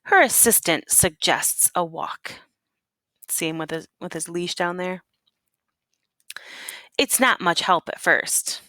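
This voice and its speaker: A woman reads a children's storybook aloud like a teacher, unhurried and very expressive in pitch. She leans on key words and puts questions to her young listeners. The sound is close and dry. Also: dry recording. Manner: deliberate, dramatic emphasis on key words. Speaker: teacher reading a picture book aloud.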